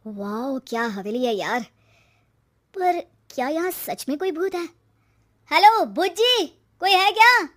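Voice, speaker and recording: A woman voices a stubborn young boy in a cartoon village tale. He is high-pitched and curious, firing off questions and exclamations. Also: animated, takes it slowly, fairly bright tone, heavily acted. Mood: excited, curious.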